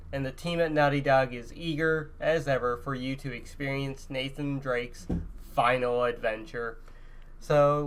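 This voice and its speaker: A man's voice: a little high, smooth. A man co-hosting a podcast in a casual, chatty gaming-news style. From Canada. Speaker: podcast co-host.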